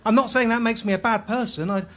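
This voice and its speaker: A man's voice: extremely high pitch, steady tone, fast talker. A man playing a blunt, literal-minded, self-absorbed sports champion in a radio comedy sketch. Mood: matter-of-fact.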